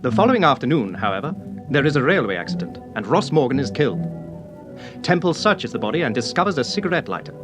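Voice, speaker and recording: A man's voice: mid-range voice, big pitch swings, fast talker, over music, lightly acted. A man playing the narrator of a radio mystery serial, giving a scripted recap. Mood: brisk.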